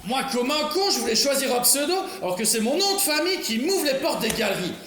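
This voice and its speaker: An actor playing a sarcastic, resentful young adult painter son who stammers, in a stage comedy. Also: male voice, very high voice, big pitch swings, fast, crowd noise. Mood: defensive. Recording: very echoey stage recording with faint noise.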